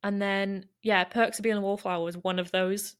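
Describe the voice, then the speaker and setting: A woman's voice: mid-range voice, steady tone, normal speed, clear, resonant tone. A young woman in her twenties hosting a book podcast, chatting enthusiastically and filling her speech with "like". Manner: enthusiastic, many fillers like "like". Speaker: podcast host. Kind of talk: casual chat.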